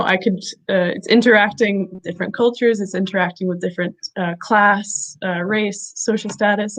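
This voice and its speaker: A woman hosting a conversational interview, speaking haltingly with many fillers and restarts. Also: medium pitch, poor audio. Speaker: interview host.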